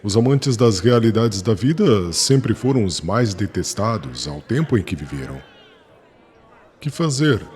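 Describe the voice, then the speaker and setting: A man plays an ardent young religious convert, solemn and declamatory. His lowish voice moves with very animated intonation, earnest and unshakeable, as in a dramatised audiobook.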